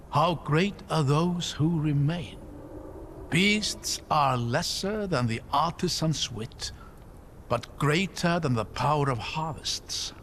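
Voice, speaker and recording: A man's voice: on the high side, fairly bright tone, slight background noise. An actor playing a middle-aged, curious and articulate scholar father in a video game, musing in a learned way. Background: game soundtrack music.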